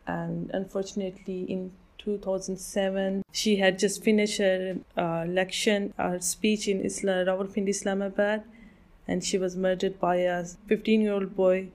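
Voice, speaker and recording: Pakistani-born woman, mellow voice. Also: mid-range voice. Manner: careful, with some hesitations. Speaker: ESOL student and podcast host. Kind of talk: reading prepared podcast intro and talk.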